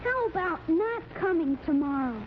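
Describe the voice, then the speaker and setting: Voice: very high-pitched, animated, moderate pace. A young performer playing a bored, blunt little boy in a 1940s radio comedy. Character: wisecracking, bored little boy, spoiled by presents. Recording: noisy, muffled 1940s radio recording.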